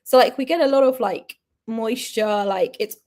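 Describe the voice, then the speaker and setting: A woman's voice: a little high, normal speed, a little mellow. A young British woman from the UK chatting casually, rambling with lots of fillers and tangents. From United Kingdom. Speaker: co-host. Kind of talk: casual chat.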